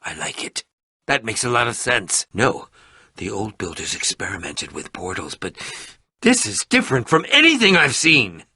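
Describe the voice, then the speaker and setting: An actor voicing an excitable, eccentric, sarcastic adventurer in a video game, with a theatrical delivery that moves between muttering and exclaiming. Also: male voice, on the low side, normal speed, husky, no room sound, clean studio sound, exaggerated voice. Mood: muttering, wry. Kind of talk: animated video game voice acting.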